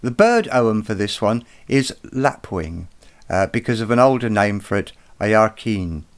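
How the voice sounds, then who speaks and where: A man presenting an explanatory lesson, speaking in a slow, measured way and taking care over each pronunciation. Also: lowish voice, big pitch swings. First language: not Irish. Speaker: presenter of an educational video.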